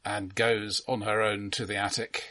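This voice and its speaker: A man co-hosting a casual film discussion, speaking in a halting, measured and dryly understated way. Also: on the low side, level tone, pushed and bright. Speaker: film podcast co-host.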